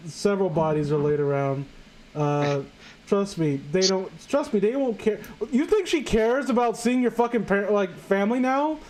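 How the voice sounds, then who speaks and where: A man's voice: sits high, expressive, normal speed, a little mellow. A man reading a story aloud as host among friends, casual and incredulous, often tripping over the text and breaking off to mock it. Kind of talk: casual mocking reading of amateur fan fiction with friends.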